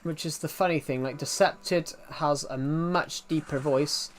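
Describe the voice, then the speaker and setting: A man's voice: a little high, fairly even intonation, a little slow, a little hiss or hum. A young man from the UK with a thick regional British accent, chatting casually on a game stream and restarting his sentences often. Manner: rambling, restarts often, reads chat aloud. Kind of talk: casual live-stream chat.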